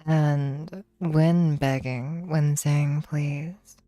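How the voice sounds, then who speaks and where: A woman streamer with a slightly hoarse voice, talking slowly and teasingly in a relaxed livestream chat. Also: low voice, dry recording, soft background music. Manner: slow, teasing, drawn-out praise.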